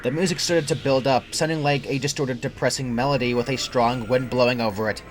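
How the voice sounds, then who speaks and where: Young adult male creepypasta narrator, mid-pitched, scripted first-person read, light music bed. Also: steady tone, a little fast. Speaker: horror story narrator.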